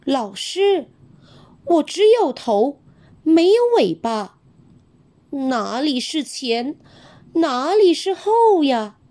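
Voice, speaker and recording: Woman voicing little animal pupils, squeaky, puzzled, lively. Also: drawn-out words, pauses often, full character voice. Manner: high, whiny, questioning.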